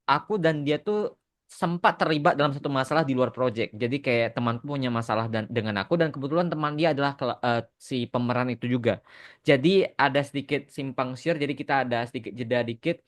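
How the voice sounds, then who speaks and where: Young man, slightly high voice. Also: fairly bright tone. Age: young adult. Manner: informal, mixes in English phrases. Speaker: animation creator answering fan questions on a podcast. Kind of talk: casual podcast storytelling.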